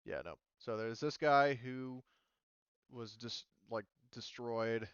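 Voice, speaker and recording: A man's voice: medium pitch, takes it slowly, clean tone, no room sound, muffled recording. A man acting as game master, casually improvising narration in an informal, mildly profane way.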